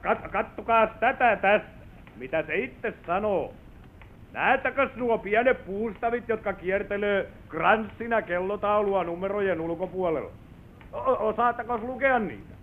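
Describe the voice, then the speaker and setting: Male actor as folksy salesman, high voice, proud and coaxing, old radio drama, thin phone-like recording. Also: close to an everyday voice. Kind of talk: vintage Finnish radio play acting.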